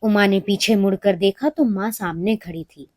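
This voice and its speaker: A woman narrating a scripted moral story for children in an expressive storytelling manner. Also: mid-range voice, normal speed, dry recording, clean studio sound.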